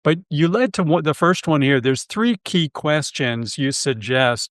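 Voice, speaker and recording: A man's voice: a little high, expressive, unhurried, a bit bright, no echo, studio quality. Friendly, enthusiastic Canadian man hosting. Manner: friendly, enthusiastic, restarts and self-corrects. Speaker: podcast host. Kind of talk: conversational interview.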